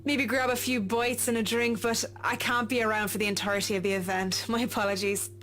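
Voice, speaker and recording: A woman's voice: on the high side, fairly even intonation, a little fast, fairly bright tone, slight background noise, natural voice. An actress playing a reserved, apologetic professional woman in a game, speaking with polite reluctance. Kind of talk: visual novel game voice acting.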